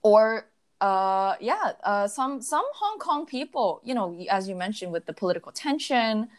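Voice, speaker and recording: A woman's voice: medium pitch, big pitch swings, moderate pace. A young adult woman in casual conversation, telling stories reflectively with many fillers. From United States.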